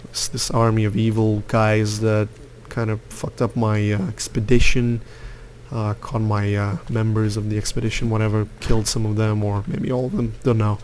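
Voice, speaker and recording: A man's voice: lowish voice, level tone, moderate pace, a little mellow, slight background noise. A young man from Lithuania giving rambling, opinionated commentary over his gameplay, with lots of fillers. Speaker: gaming youtuber.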